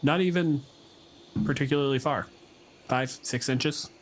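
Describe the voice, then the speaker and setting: A man runs a tabletop game in an average-pitched voice. He describes things casually and freely, and faint noise sits behind him. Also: unhurried. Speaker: game master. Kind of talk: narrating an unscripted tabletop game.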